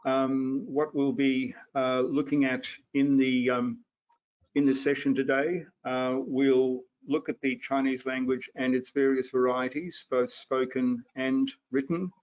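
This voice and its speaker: Older male moderator, slow and monotone, dull call audio. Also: mid-range voice. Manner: halting, many fillers. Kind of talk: moderating a video panel.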